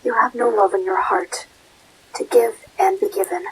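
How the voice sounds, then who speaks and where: A woman's voice: a little high, big pitch swings, normal speed, slightly rough, full character voice, low-quality recording. A woman voicing an angry, regal pony princess in an amateur fan-made audio drama, seething and muttering dramatically. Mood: cold contempt. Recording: hissy home recording with rain and thunder.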